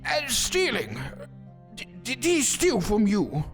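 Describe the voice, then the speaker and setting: An actor playing a callous, impatient judge in an audio drama, pompous and dismissive. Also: male voice, very high voice, wildly swooping pitch, slow, a bit bright, soft background music, exaggerated voice.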